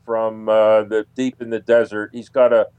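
A man answering as an interview guest, conversational and halting, repeating phrases for emphasis. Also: fairly low pitch, little pitch movement, darkish tone, clear, resonant tone, no room sound, dull audio.

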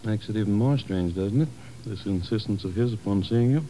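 An actor playing a polite, matter-of-fact morgue doctor in a 1950s radio drama. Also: male voice, fairly low pitch, fairly quick, warm tone, lightly acted. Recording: muffled noisy 1950s radio recording.

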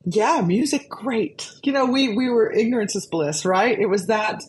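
A woman from Arkansas with a low speaking voice, telling stories as an interview guest, chatty and laughing often. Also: animated, normal speed, a little mellow, a little hiss or hum. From Arkansas, USA. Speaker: guest composer. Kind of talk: casual conversational storytelling.

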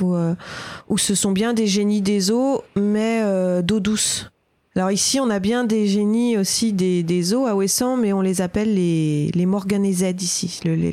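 A middle-aged woman talks about her working life in a radio interview. She hesitates and restarts often, filling the gaps with short sounds. Her voice is mid-pitched and recorded dry and close. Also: a little mellow, no room sound. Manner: hesitant, full of fillers and restarts. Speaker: fisher and outdoor lecturer.

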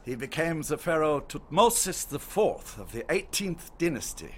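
An actor playing a courteous, learned German archaeologist with a German accent, speaking like a formal storyteller in a radio drama. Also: male voice, a little high, sing-song, moderate pace, fairly bright tone. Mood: reverent, explanatory.

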